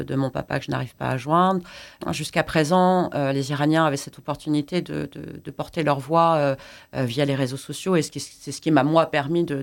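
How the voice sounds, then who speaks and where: A woman from France, an invited artist, answering interview questions haltingly with many fillers and restarts. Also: a bass voice, vocal fry. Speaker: guest artist. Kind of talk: studio interview.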